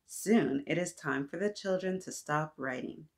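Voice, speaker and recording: Lower-voiced woman hosting children's story time. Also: unhurried. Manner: animated, encouraging, addresses children directly with questions. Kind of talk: read-aloud for young children. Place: small decorated indoor room.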